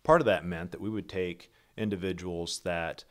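Middle-aged male presenter, very low voice, steady and businesslike, keynote over slides. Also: normal speed. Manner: steady, methodical, businesslike, with occasional slips and restarts. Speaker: company founder presenting.

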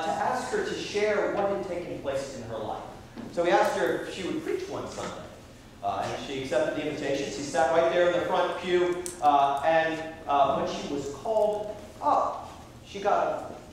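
High-voiced American priest in an echoey church. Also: male voice, distant mic, slight background noise. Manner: measured preaching, reading quotations slowly. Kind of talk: sermon. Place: large church sanctuary. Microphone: far camera at the back of the church.

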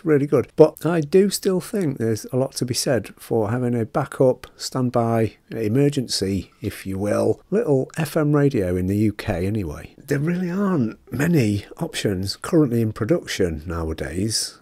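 A British man giving a casual, conversational product review in an informal, mellow voice. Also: medium pitch, no room sound.

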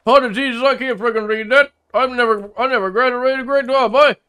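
A man doing a high, touchy roughneck. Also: fairly even intonation, fairly quick, pushed and bright. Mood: grumbling threats. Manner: blustering, swears constantly, tacks "boy" on every line. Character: touchy rough-spoken rural Newfoundland man. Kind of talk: comedy sketch acting.

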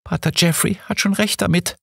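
Audiobook role: male reader as very young woman, timid, sobbing, guilt-laden, pitched well up. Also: fairly even intonation, brisk, gravelly, no room sound, studio quality. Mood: tearful, guilty. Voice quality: fragile, sobbing. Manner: timid, unsure. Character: fragile, tearful, guilt-ridden very young woman.

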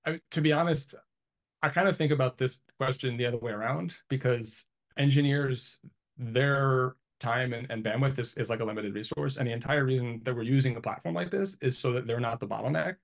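Gravelly man thinking aloud. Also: mid-range voice, fairly quick. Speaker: interviewee, IT engineer. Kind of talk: casual explanatory interview talk. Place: small home office, indoors. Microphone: video call.

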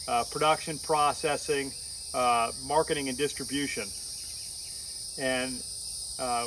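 Middle-aged male farmer, easygoing rambling vlog delivery, recorded outdoors on camera with clear cricket and insect noise. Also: fairly high pitch. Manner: rambling, thinking aloud with frequent uhs. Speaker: farmer vlogger. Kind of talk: casual talk to camera. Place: outdoors in a sunny pasture. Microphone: camera on a tripod a few meters away.

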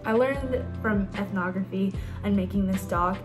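A woman from New Orleans, a student talking in a calm, unhurried way about her documentary class. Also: mid-range voice, music in the background. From New Orleans, Louisiana. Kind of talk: reflective testimonial.

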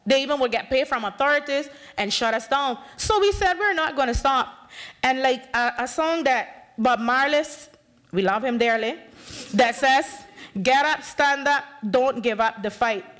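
A woman in her thirties with a Bay Islands Honduran English accent speaks at a conference podium. Her voice sits high and carries real passion. She is emphatic and acts out exchanges as she argues her case. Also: moderate pace, fairly bright tone. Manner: passionate, emphatic, gestures widely, acts out dialogue. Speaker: panel speaker, community activist. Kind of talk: impassioned conference talk. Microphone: podium microphone.

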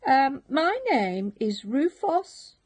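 A woman's voice: high-pitched, big pitch swings, very slow, a little mellow, pitched above the performer's own voice, full character voice. A woman voicing an eager, polite little boy pig from a storybook who wants to become a pirate. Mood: earnest. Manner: earnest, polite, insistent.

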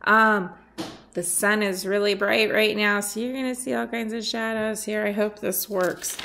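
A middle-aged woman chatting casually as she unboxes a swap package at home, rambling and thinking out loud, her voice a little worn as she comes down with something. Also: mid-range voice, level tone, normal speed, creaky, a little hiss or hum. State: losing her voice, getting sick. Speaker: nail art swap host. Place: sunny room at home by a window.

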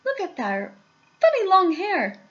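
An amazed storybook onlooker, performed by a woman reader. The cries are very high, loud and swooping, captured in slightly dull audio. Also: wildly swooping pitch, a little slow, exaggerated voice. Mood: amazed shouting. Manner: shouting, amazed. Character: astonished crowd of excited visitors shouting.

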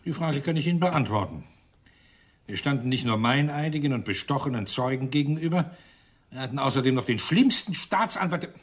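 A man playing an angry, sardonic police inspector in a German radio crime drama, gruff and sarcastic. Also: mid-range voice, lively intonation, fairly quick. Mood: bitter. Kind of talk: German radio play acting.

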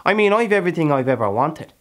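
Low-voiced, brooding Irish killer played by an actor. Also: male voice, steady tone, natural voice. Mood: impassioned, anguished. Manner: brooding, deliberate, with pauses and rhetorical questions. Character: hardened Irish settler, proud, brooding, restless killer. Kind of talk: dramatic theatrical monologue.

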